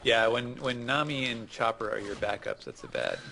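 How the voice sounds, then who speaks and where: A man's voice: medium pitch, sing-song, moderate pace, creaky, sharp tone. A man co-commentating in a casual gaming chat, with a dry, teasing manner.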